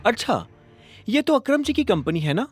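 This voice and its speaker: A woman's voice: fairly high pitch, sing-song, moderate pace, a little mellow, slightly rough, faint music behind. A woman playing a playful, inquisitive, pushy young rich woman in an audio drama, teasing and probing. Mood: suspicious, probing. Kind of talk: Hindi audio drama dialogue.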